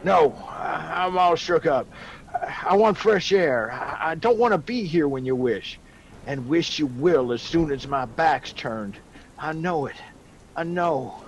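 A man's voice: fairly high pitch, animated, a little slow, low-quality recording. An actor playing a shaken, fearful male guest giving grave warnings in a radio drama. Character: agitated, fearful old soldier guest warning of a curse. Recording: faint background noise and ambience.

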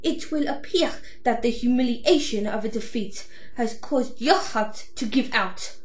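A woman's voice: on the high side, a little slow, roomy sound, a little hiss or hum, lightly acted. A woman playing a coolly gloating female doctor villain in an amateur audio drama, speaking with formal, mock-polite theatricality. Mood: coolly menacing.

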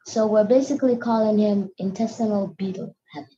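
A woman's voice: mid-range voice, brisk, dark tone. A female student giving an informal explanation in a history lesson. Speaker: student presenter. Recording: muffled, noisy online call.

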